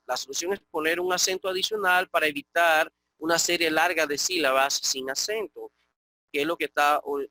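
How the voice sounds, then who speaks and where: A middle-aged man teaches a grammar lesson online in a bright, ringing voice set a little high. The sound is dry and close, with no room around it. He explains patiently step by step and keeps checking in with a quick "ok?". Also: no room sound. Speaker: Greek teacher.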